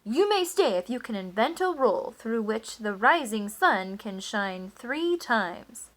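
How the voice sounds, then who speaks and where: A woman's voice: on the high side, very animated, takes it slowly. A woman reading a storybook aloud, voicing a hot-tempered male duke who roars his commands. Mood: stern. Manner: roaring and commanding.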